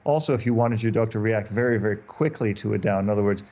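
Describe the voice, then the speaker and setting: A man's voice: on the low side, quick pace, warm tone, muffled recording. A man in his fifties, a native German speaker, explaining dog training on a video call, often restarting and repeating words. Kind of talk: interview guest on a video call. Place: dark room indoors. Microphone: headphones and desk mic on a video call.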